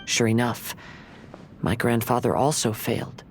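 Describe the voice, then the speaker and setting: A man playing a gentle young wandering samurai in a video game, speaking in measured, formal, reflective phrases. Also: mid-range voice, flat delivery, a little hiss or hum, lightly acted. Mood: calm, reflective. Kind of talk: video game story dialogue.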